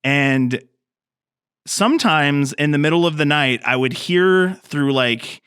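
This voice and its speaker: A man's voice: on the high side, expressive, takes it slowly, fairly bright tone, clear, resonant tone, dry recording, clean studio sound. A man in his thirties, a filmmaker guest on a podcast, talking casually and rambling with many fillers and restarts. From grew up in the mountains. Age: 30s.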